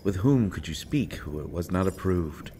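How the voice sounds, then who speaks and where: A man playing a sardonic imprisoned villain in an audio roleplay, taunting with theatrical, mocking, deliberate phrasing. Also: deep voice, lively intonation, mellow, slight background noise. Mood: cold, menacing. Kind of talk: scripted audio roleplay acting.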